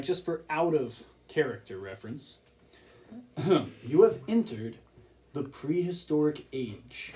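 A man's voice: mid-range voice, dark tone, a little hiss or hum, low-quality recording. A man acting as game master, narrating a tabletop game with descriptive scene-setting.

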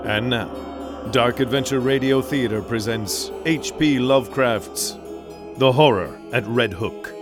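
An actor playing a portentous, earnest announcer in an old-time radio drama. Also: male voice, mid-range voice, a little slow, close to an everyday voice. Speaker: radio announcer and sponsor host. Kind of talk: 1930s radio announcing, melodramatic. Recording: music behind the voice.

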